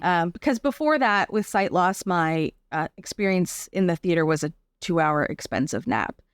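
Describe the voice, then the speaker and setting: A Canadian woman with a slightly low voice, recorded close and dry. She talks as an interview guest, telling about her work in a chatty, explanatory way and often checking that the listener follows. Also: lively intonation, normal speed, clean tone, no echo. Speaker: interview guest, artist and arts accessibility advocate. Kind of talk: conversational interview storytelling.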